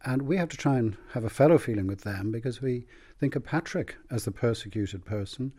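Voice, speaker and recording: A man with a Dublin Irish accent, an archbishop speaking in an earnest, measured way in a conversational joint address. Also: mid-range voice, animated, mellow. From Ireland.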